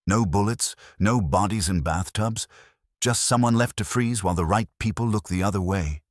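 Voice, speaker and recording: A man's voice: on the low side, normal speed, dry recording, studio quality, voice pushed up, close to an everyday voice. A man voicing a wry, direct detective's assistant in an audiobook, colloquial and probing.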